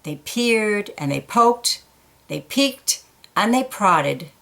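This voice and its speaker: A woman reading a picture book aloud to children in a gentle, engaged way. She pauses to point out little details in the illustrations before going back to the story. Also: mid-range voice, expressive, vocal fry.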